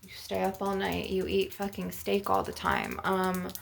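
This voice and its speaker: A woman with a noticeably creaky, gravelly edge to a mid-pitched voice gives informal spiritual advice. She speaks conversationally but emphatically, like a healer addressing followers of her own channel. There is faint noise behind her. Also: sing-song, unhurried. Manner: conversational, emphatic, peppered with swearing and "you know". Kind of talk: informal spiritual advice talk in an ASMR session.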